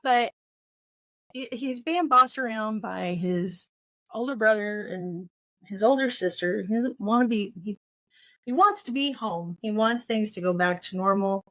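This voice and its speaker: A woman co-hosting a casual book chat, with a mid-range voice and a very dry, close sound. She talks in a loose, rambling way, thinking out loud and trailing off. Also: medium pitch, unhurried, no room sound. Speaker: book podcast co-host.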